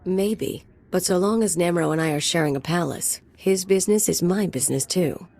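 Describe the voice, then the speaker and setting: A low, husky female enforcer, full of swagger. She is sultry and taunting, mixing flirtation with playful menace in a video game performance. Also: normal speed, a little mellow. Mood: taunting, flirtatious. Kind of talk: video game character acting.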